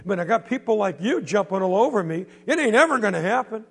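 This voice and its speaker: A man's voice: fairly high pitch, lively intonation, moderate pace, vocal fry, slightly rough, long reverb tail, full character voice. A man voicing an angry fourteen-year-old boy who pleads to be left alone, in an exasperated manner.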